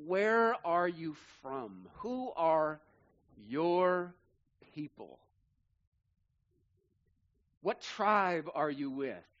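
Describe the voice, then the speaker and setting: A man's voice: on the high side, sing-song, extremely slow, pauses often, fairly bright tone, dull audio. A man in his forties, a pastor preaching an earnest sermon and building emphatic lists. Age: 40s.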